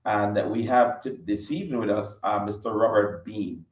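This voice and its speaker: A man hosting an interview show, welcoming a guest in a halting way with restarts and fillers. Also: lowish voice, recorded from a distance. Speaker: show host. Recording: dull, roomy audio from afar.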